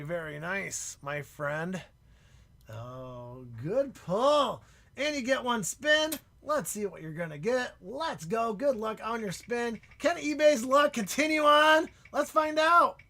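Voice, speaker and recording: Guy hosting a live stream, talks slow, bright tense voice, going hoarse, gets shouty and laughs a lot. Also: sits high. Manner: excitable, bursts into loud shouts and laughs. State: losing his voice. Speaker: livestream host. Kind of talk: casual live stream chat.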